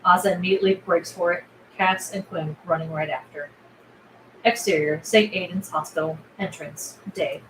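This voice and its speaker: A woman's voice: fairly low pitch, fairly even intonation, moderate pace. A woman narrating the scene directions of a film script at a table read. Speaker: stage-direction reader. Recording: roomy echo with clear background noise.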